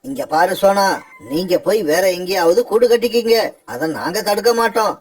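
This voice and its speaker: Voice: mid-range voice, moderate pace, a little mellow, husky, lightly acted. A performer voicing a headstrong, proud crow in a defiant, emphatic way for a children's cartoon fable. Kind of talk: cartoon voice acting.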